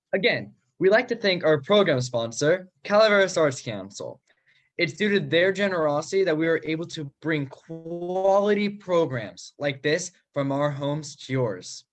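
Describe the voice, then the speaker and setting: A man's voice: a little high, lively intonation, unhurried. A man hosting a show in the style of a 1940s radio announcer, recorded at home. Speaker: announcer and host. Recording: slightly noisy home recording.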